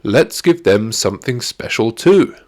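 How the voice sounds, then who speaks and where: A man's voice: mid-range voice, lively intonation, unhurried, darkish tone, close to an everyday voice. A man voicing a strong, kind male rhino zoo ranger in a children's storybook, friendly, proud and enthusiastic. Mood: agreeable.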